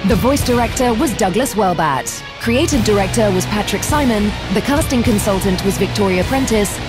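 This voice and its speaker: Woman announcer over loud guitar music. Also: medium pitch, lively intonation, normal speed. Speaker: credits announcer. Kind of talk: scripted credits announcement.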